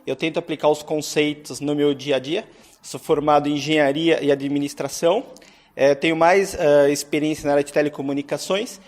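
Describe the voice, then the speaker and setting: A man's voice: fairly high pitch, fairly even intonation, fairly quick, darkish tone. A man introducing himself to a group at a meeting, chatty and friendly, tagging his sentences with "né". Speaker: English teacher.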